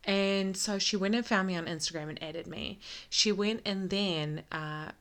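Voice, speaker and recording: A woman's voice: medium pitch, measured pace, a bit bright. A woman in her thirties, originally from Iraq, chatting frankly and laughing on a casual podcast, swearing freely. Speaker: podcast co-host. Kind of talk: casual chat.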